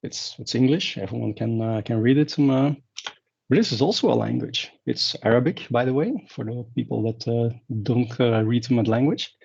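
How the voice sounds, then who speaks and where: A man's voice: mid-range voice, moderate pace, gravelly, darkish tone, breathy edge. A man from Belgium, giving a conference talk in a rambling way with many fillers and restarts. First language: Dutch. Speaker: conference speaker. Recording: dull lo-fi sound.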